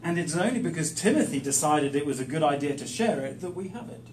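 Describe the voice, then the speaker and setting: A man preaching in short, emphatic, plain-spoken phrases, pausing for an interpreter. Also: a little high, lively intonation. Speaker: guest preacher teaching the Bible. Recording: echoey room, distant mic, faint noise.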